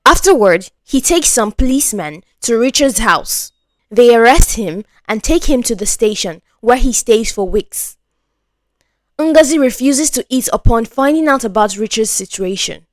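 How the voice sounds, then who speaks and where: A woman's voice: on the high side, expressive, a little slow, no room sound. A woman narrating a scripted story as a storyteller.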